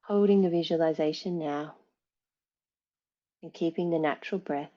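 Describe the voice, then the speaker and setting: A woman teaching yoga nidra, guiding relaxation in a calm, soothing, measured way with long pauses. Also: low-pitched, lo-fi sound. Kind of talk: guided relaxation, meditation instruction.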